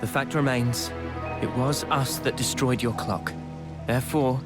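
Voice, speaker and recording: A man playing an earnest, resolute young squad leader in a video game, measured and thoughtful in delivery. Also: mid-range voice, steady tone, close to an everyday voice. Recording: music behind.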